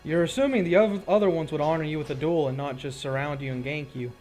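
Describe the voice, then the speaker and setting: A man's voice: a little high, fast talker, darkish tone, soft background music. A man, improvising combat narration as game master of a tabletop roleplaying game.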